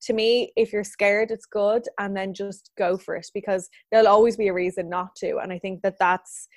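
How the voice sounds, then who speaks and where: A woman in her thirties, a business owner being interviewed, talks in a chatty, earnest way and laughs easily. Her voice sits at a middle pitch for a woman. The sound is dry and close. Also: fairly even intonation, normal speed, no echo. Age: 30s. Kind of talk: interview answers.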